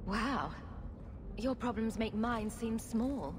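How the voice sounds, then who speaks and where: An actress playing a blunt, wry adventuring heroine in a fantasy video game. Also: female voice, on the high side, lively intonation, slow, background noise. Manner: direct, sardonic. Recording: loud music behind the voice.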